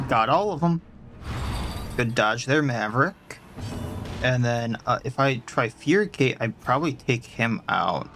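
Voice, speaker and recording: A man's voice: mid-range voice, lively intonation, takes it slowly, long pauses, fairly bright tone, soft background music. A man with a stuffed-up nose and a sore throat, chatting casually as he plays and explains his party's stats at length. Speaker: let's play host. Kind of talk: casual gameplay commentary.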